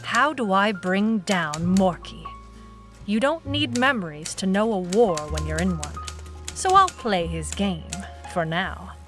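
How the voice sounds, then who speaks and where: A woman's voice: mid-range voice, animated, measured pace, music in the background, lightly acted. An actress playing a tough, defiant female private eye, narrating a noir audio drama in a cool, clipped manner. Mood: brooding, determined.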